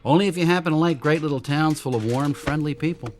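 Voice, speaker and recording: An actor playing an affable, slightly uneasy young suitor in a radio drama, by turns bantering and earnest. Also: male voice, fairly high pitch, quick pace, over music, natural voice. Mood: affectionate. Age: young adult. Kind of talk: radio drama acting, naturalistic dialogue.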